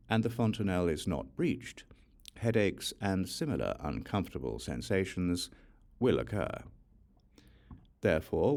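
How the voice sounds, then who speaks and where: A man reads sacred instructional text in a low, creaky voice. His delivery is formal and measured, yet the intonation moves in lively rises and falls. It is scripted audiobook narration. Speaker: audiobook reader.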